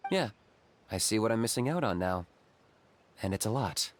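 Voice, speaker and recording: An actor playing a calm young hunter with dry wit in a game cutscene. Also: male voice, lowish voice, moderate pace, background noise, lightly acted. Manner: cool and deadpan.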